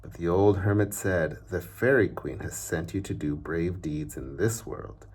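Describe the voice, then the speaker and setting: A man with a very low, husky voice reads a children's storybook aloud. His phrasing is measured and deliberate, and the ends of his lines often drop away softly.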